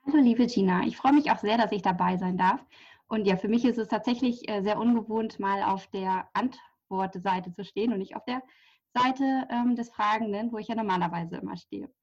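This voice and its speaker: A woman's voice: medium pitch, moderate pace, darkish tone. Chatty woman guest, slightly muffled sound. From near Hamburg, Germany. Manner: chatty, many fillers, laughs lightly. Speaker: podcast guest, journalist and horse therapist. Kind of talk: casual interview talk. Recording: slightly dull lo-fi audio.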